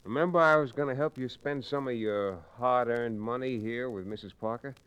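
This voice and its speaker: A man's voice: mid-range voice, moderate pace, clean tone, highs cut off, close to an everyday voice. A man playing a cool, wry frontier hero with a folksy drawl in an old-time Western radio drama. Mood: wry, taunting. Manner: calm, dryly taunting, folksy.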